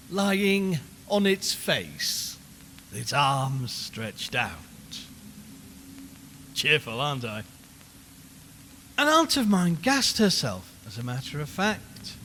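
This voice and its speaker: A man's voice: a little high, pushed and bright, exaggerated voice. Man acting a nervy radio reporter in echoing room. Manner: rambling, repeats phrases, nervous forced cheer. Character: unnerved male radio reporter, chatty, slowly losing his nerve. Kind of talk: audio horror drama, live broadcast monologue. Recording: long hollow reverb, clear background noise.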